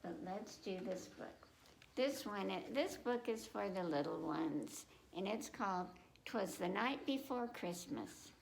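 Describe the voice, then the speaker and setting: A woman's voice: medium pitch, animated, takes it slowly, vocal fry, slight background noise, rough recording. A woman presenting a children's storytime, reading picture books aloud and breaking off to speak directly to young listeners with simple questions and repetition.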